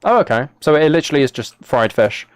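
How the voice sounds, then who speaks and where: A man's voice: fairly high pitch, expressive, fast talker, clean tone, dry recording. British man live streaming, dry close-miked sound. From United Kingdom. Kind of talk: casual game livestream chat with viewers.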